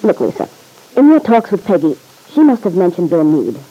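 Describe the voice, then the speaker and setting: A man's voice: sits high, very animated, normal speed, breathy edge, lo-fi sound, exaggerated voice. An actor playing a stern, insistent man in an old-time radio serial, blunt, slangy and accusing, pressing for the truth.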